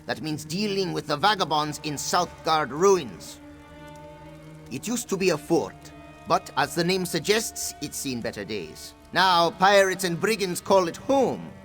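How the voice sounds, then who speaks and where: High-pitched roguish cat-man pirate captain. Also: big pitch swings, a little slow, a bit bright, soft background music. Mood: earnest, determined. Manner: theatrical, speaks of himself in the third person. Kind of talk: video game dialogue.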